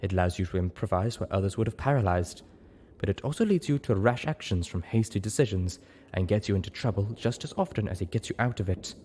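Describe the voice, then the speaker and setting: Casting: male reader as a blunt zebra mare, measured and formal, voice lifted slightly, storybook, faint noise behind. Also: fairly low pitch, lively intonation, darkish tone, close to an everyday voice. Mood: cool, frank. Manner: measured, frank, formal wording. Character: cool, blunt, observant female zebra.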